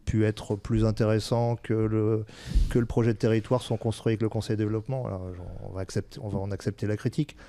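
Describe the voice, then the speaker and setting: A man's voice: on the low side, level tone, quick pace, dark tone. A man giving explanations as an interview guest, candid and often searching for his words. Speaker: senior local-government administrator, interview guest.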